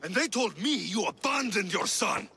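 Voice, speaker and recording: An actor playing a bitter, menacing young warrior in a video game, theatrical and mocking, going from hushed to roaring. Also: male voice, high-pitched, animated, moderate pace, a little hiss or hum, exaggerated voice. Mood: bitter defiance. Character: bitter, menacing young warrior son, cult's chosen one. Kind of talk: dramatic video game acting.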